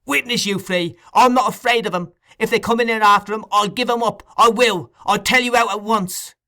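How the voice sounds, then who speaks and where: A man's voice: sits high, normal speed, bright, forceful tone, voice pushed up. A man reading aloud, voicing a terrified boy who screams for help in a frantic rage.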